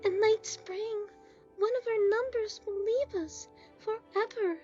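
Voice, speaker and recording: A mournful, credulous schoolgirl, acted by a woman for an audio drama. The voice is extremely high and mellow. The recording is a little dull and lo-fi. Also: fairly even intonation, slow pace, faint music behind. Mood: awed, mournful. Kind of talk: fan audio drama acting.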